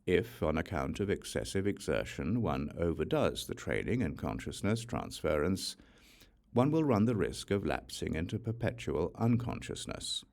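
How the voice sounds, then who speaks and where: Deep-voiced, slightly gravelly male audiobook reader. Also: very animated, darkish tone. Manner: measured, instructional, formal delivery. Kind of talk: scripted narration of a religious text.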